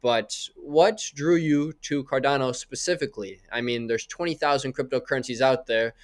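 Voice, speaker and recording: A young man hosts a podcast into a close mic in a small room. His voice sits in an ordinary middle pitch. He speaks in a friendly, casual way and strings his questions out at length. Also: moderate pace. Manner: friendly, asks long rambling questions. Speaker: podcast host. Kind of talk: casual interview.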